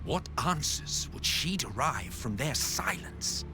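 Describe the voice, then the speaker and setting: Imperious official, sweeping pitch. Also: male voice, sing-song, over music. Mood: probing, sceptical. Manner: theatrical, deliberate, formal. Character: imperious, sceptical male ancient official, sardonic and probing. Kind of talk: video game cutscene acting.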